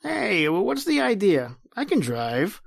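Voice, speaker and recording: A man's voice: high-pitched, wildly swooping pitch, measured pace, gravelly, no room sound, clean studio sound, heavily acted. A man playing a breezy, cocksure party friend in a radio drama, teasing and overconfident. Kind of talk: old-time radio drama acting.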